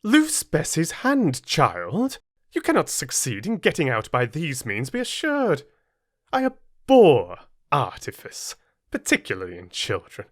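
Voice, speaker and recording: A stern aristocratic lady, put on by a male reader, hands down orders in a clipped, peremptory tone, raised well above his usual pitch. The recording is dry and close. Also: wildly swooping pitch, a little slow, a bit bright, no echo, heavily acted. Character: stern, cold aristocratic aunt.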